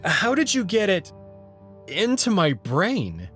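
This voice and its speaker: An indignant, questioning young captive from a visual novel, played by a man. His fairly high voice is anxious and lively in pitch, with soft music underneath. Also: slow, full character voice. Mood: bewildered, questioning.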